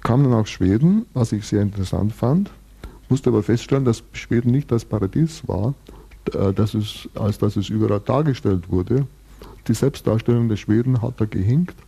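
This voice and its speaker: A man's voice: fairly low pitch, animated, moderate pace, creaky, warm tone, slightly rough. A man in his sixties from Bavaria, telling his life story at length in a radio interview, thoughtful with dry humor and frequent restarts. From Bavaria, Germany. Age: 60s.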